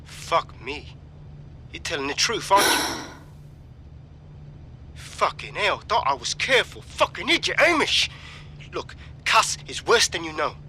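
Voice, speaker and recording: High, agitated British fugitive techie. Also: male voice, big pitch swings, a little slow, pauses often, pushed and bright, slight background noise, exaggerated voice. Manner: agitated, sweary. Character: jumpy, suspicious male tech fugitive, sweary and cornered. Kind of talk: dramatic video game acting.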